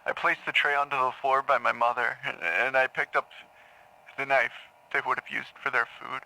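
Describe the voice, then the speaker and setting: An actor playing a haunted man in an audio drama, confessing in halting, repetitive phrases to a grim crime. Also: medium pitch, fairly even intonation, gravelly, sharp tone, rough recording. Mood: grim, tense. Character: haunted man confessing to killing his parents in therapy. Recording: taped therapy session sound.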